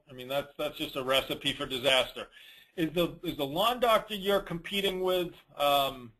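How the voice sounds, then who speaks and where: A man's voice: medium pitch, fairly bright tone, low-quality recording. A man hosting an informal question-and-answer call, giving advice in a blunt, colloquial way and repeating points for emphasis. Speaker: host of a dealer network conference call answering questions. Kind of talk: informal Q&A, advising.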